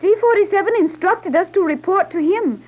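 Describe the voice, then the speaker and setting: A woman's voice: extremely high pitch, brisk. An actress playing a cool young woman from a scientific colony in a 1930s radio serial, speaking in formal, stilted phrases. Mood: formal, composed. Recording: muffled, narrow-band old radio recording.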